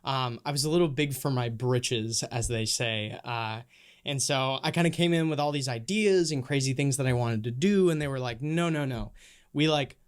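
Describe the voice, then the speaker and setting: A man's voice: mid-range voice, clean tone. A young man on a podcast telling his own story in casual chat, self-deprecating, with plenty of ums and likes. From Minnesota and Honduras. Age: young adult. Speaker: podcast co-host and guest.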